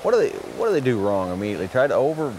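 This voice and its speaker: A man's voice: mid-range voice, very animated, very fast, darkish tone, a little hiss or hum, low-quality recording. An older man hosting an outdoor fishing show, asking questions eagerly and chiming in with short admiring remarks. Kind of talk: interviewing a guest outdoors. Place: wading in a wooded trout stream outdoors. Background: faint stream and outdoor ambience.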